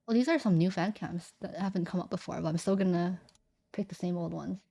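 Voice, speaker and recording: A woman's voice: on the low side, a little fast, creaky, dark tone. A woman chatting casually on a live stream and reading out chat messages, her voice worn out and fading. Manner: chatty, reads chat aloud. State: losing her voice.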